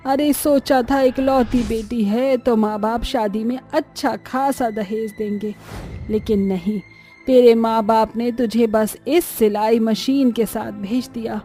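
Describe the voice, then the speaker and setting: Cartoon family drama: female actor as nagging, cruel elderly mother-in-law, highish voice, taunting delivery, music underneath. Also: fairly even intonation, a little slow, warm tone, lightly acted. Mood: bitter, scolding. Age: older. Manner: harsh, taunting, scolding.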